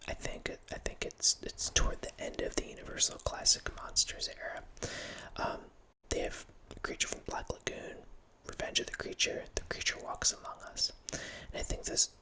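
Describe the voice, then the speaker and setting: A man in his thirties whispers right into a close studio mic from a small room at home. His delivery is loose and rambling, full of restarts and small self-corrections. He keeps it soft and breathy the whole way through. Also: moderate pace. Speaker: host. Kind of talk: whispered ASMR horror film ramble. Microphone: studio mic close up, headphones.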